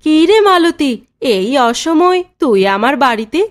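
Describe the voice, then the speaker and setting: A woman voicing a welcoming village neighbor who gently chides a visitor, with faint music behind. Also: high-pitched, lively intonation, unhurried. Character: friendly village neighbor woman baking cakes.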